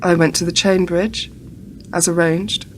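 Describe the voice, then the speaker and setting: An actress playing a terse, suspicious secret agent in a radio play, answering in clipped phrases. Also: female voice, fairly even intonation, a little slow, close to an everyday voice. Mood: guarded. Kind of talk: radio drama acting. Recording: very noisy, vehicle-like background rumble.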